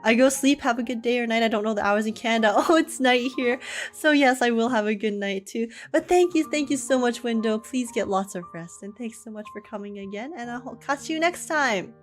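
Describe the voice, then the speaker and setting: A woman's voice: fairly high pitch, fairly quick. A woman live streamer giving casual, chatty, giggly commentary on a game. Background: game music.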